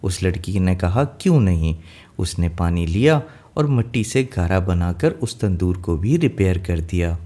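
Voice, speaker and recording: Mellow low-voiced man telling a fairy tale. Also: animated, unhurried. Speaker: storyteller. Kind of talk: scripted fairy tale narration for children.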